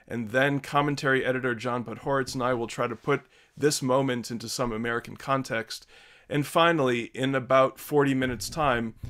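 A man in his thirties hosting a video call from a home study, giving measured, earnest opening remarks. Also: mid-range voice, fairly bright tone. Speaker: host.

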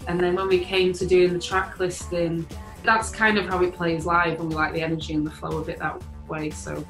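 Low-voiced woman on a video call. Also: level tone, a little fast, a bit bright. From England. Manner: chatty, many fillers, rising on openings. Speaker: guitarist in a band, interviewee. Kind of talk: casual interview chat. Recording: echoey room with faint music.

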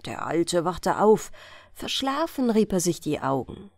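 Slow, expressive woman reading a fairy tale. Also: lowish voice, no room sound, clean studio sound, soft background music. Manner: measured, storytelling delivery. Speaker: audiobook narrator.

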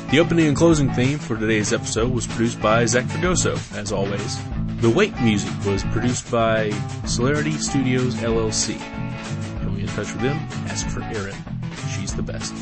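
A man's voice: medium pitch, moderate pace. Man reading show credits over music. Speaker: credits announcer. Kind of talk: scripted credits read.

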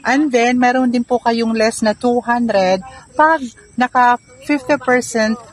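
Chatty woman pitching farm land. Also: slow pace, low-quality recording. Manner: chatty, upbeat, polite, persuasive. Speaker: real estate seller. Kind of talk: casual sales pitch. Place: outdoors on a rural dirt road among fields.

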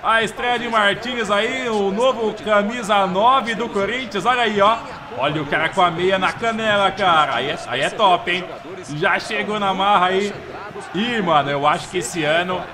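A man's voice: high voice, normal speed. A man with a Brazilian accent giving slangy, casual commentary on a football game he is playing. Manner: slangy, chatty, keeps saying "mano" and "velho"; gets loud and excited over the crowd. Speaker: gameplay commentator. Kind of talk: casual let's-play commentary.

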